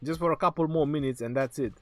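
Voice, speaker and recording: Male streamer, fraying hoarse voice, slightly high, casual Q&A host. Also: fast talker, a bit bright. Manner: reads viewer questions aloud then answers, laughs often. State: hoarse, losing his voice. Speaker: game livestream host. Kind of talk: casual live Q&A reading chat questions. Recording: faint background music.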